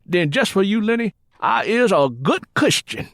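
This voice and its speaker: A man's voice: sits high, big pitch swings, normal speed, gravelly, a bit bright, no room sound, clean studio sound, voice pushed up, full character voice. A man voicing a mocking, hard-drinking Southern husband with folksy, irreverent banter in an audiobook. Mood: mocking, gleeful. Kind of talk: audiobook character acting.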